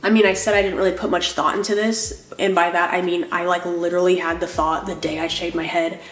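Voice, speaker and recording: A woman's voice: on the low side, little pitch movement, brisk, roomy sound, a little hiss or hum. A young woman vlogging in a casual, rambling way, full of "like" fillers, sounding nasal from a cold. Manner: rambling, lots of "like" fillers, apologetic. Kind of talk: casual chatty vlog.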